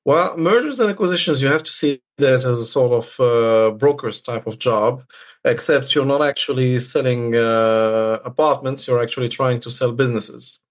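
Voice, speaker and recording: A man's voice: medium pitch, normal speed. Man from Morocco explaining in casual interview. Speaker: podcast guest, trader.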